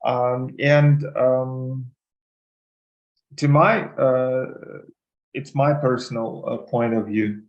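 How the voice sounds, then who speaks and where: Man, webinar host, halting with lots of fillers, dull lo-fi call audio. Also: mid-range voice, steady tone. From Ukraine. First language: Ukrainian and Russian. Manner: hesitant, many fillers. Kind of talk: casual advice over a video call. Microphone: video call.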